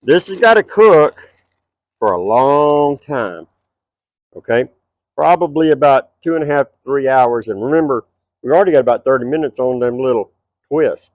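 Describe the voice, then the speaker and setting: A man's voice: mid-range voice, animated, moderate pace, darkish tone, no room sound, highs cut off. A middle-aged man with a Texas twang, hosting a cooking show at an outdoor backyard table and chatting straight to his viewers.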